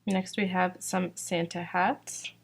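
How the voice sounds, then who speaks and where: A young woman talks casually and unhurriedly, filling her sentences with "like" and "um." Her voice sits a little low and sounds a bit worn, as if she has been losing it lately. She is from Georgia. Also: level tone, slow pace, vocal fry, a little hiss or hum. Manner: chatty, fillers like "like" and "um". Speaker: sticker shop owner presenting new releases. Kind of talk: casual product walkthrough.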